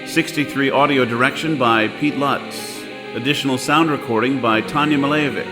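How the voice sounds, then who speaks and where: Male credits announcer over loud music. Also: medium pitch, moderate pace. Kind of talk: scripted credits narration.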